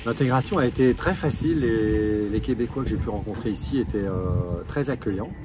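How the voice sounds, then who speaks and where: A man from Alsace answers interview questions thoughtfully, stopping for hesitations now and then. He is recorded from some distance off the mic. Loud background noise, with passing vehicles, fills the recording. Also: on the low side, a little fast. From Alsace, France. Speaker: interviewee, park operations director.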